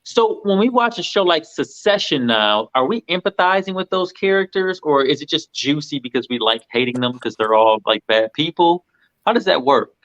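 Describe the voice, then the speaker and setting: A man's voice: on the high side, expressive, normal speed, a bit bright. A man in casual, joking banter about films, with an irreverent, sweary way of talking. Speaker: film review panelist. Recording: slightly dull, lo-fi audio.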